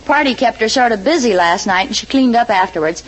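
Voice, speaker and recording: A woman's voice: fairly high pitch, expressive, fairly quick, natural voice. An actress playing an unflappable, pleasant wife and mother in an old-time radio comedy, on a noisy vintage recording with a studio audience.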